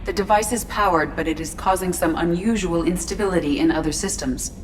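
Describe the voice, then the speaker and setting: A woman as a low, composed ship AI. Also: a little hiss or hum, close to an everyday voice. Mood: calm, cautioning. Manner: formal, measured. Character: female ship computer AI, composed, precise, cautious. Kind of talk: video game character acting.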